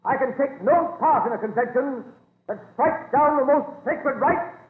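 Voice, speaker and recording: A solemn abolitionist orator with a very high, declamatory voice. He speaks formally, as in 1930s radio drama. The sound is echoey and phone-thin. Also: moderate pace, phone-quality sound, soft background music. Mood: solemn, impassioned. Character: impassioned 19th-century American abolitionist orator, solemn and principled.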